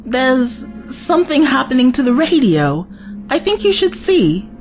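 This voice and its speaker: Anxious, animated young-heroine type played by a woman. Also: high voice, unhurried, soft background music. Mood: uneasy. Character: earnest, anxious female civilian companion in a war zone. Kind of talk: audio drama acting.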